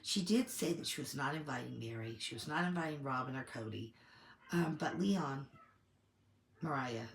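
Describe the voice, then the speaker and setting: Low-voiced woman with a cold, echoey room. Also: animated, creaky. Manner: opinionated, measured, thinking aloud. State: getting over a cold, slightly hoarse. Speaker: co-host of a TV commentary channel. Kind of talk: casual opinion commentary.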